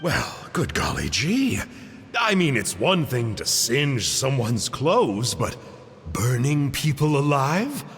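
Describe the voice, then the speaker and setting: A man's voice: mid-range voice, wildly swooping pitch, slow pace, fairly bright tone, in a large hall, slight background noise, exaggerated voice. A man voicing a quirky, genteel man in a game, speaking in a folksy, theatrical and polite way. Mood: curious. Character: quirky genteel man called Uncle Glasses. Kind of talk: game dialogue voice acting.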